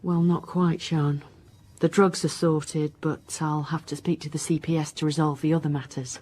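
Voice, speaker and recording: Low-voiced weary woman detective. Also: little pitch movement, moderate pace, warm tone. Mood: weary, subdued. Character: weary, self-critical female police detective boss. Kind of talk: naturalistic radio drama acting.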